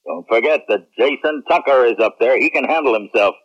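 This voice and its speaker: A man acting a skeptical, authoritative military general in a 1970s radio drama, husky and probing. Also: medium pitch, a little fast, close to an everyday voice. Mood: skeptical, firm. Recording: narrow, muffled 1970s radio sound.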